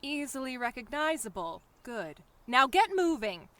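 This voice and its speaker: Woman playing a brisk, high-voiced commanding mayor. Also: very animated, background noise. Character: brisk, commanding female small-town mayor, past her youth. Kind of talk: audio drama dialogue.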